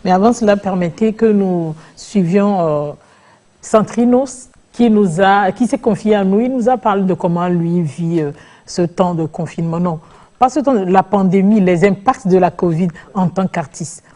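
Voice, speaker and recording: Mellow-voiced woman hosting a TV talk show. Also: medium pitch, steady tone, moderate pace. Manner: leading, asks pointed questions. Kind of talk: studio talk show moderation.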